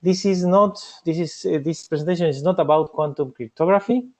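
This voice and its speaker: Argentine guy presenting, lots of uhs and restarts, slightly high voice. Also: darkish tone. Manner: halting, many fillers and restarts. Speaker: cybersecurity researcher presenting a talk. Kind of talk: conference presentation.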